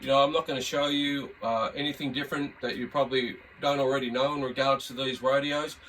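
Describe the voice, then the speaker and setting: A man's voice: mid-range voice, steady tone, fairly quick, a bit bright, a little hiss or hum. An Australian man giving a casual, unscripted demonstration as a radio hobbyist, rambling and hesitant in a laid-back way. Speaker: radio hobbyist presenting a video. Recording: distant mic, slightly muddy room sound.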